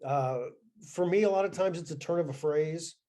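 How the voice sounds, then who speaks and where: A man hosts an interview with a fellow writer, his voice warm and mellow and a touch high. He talks in a halting way, restarting his phrases often. He sounds like he is on a video call. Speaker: interview host. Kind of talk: conversational interview.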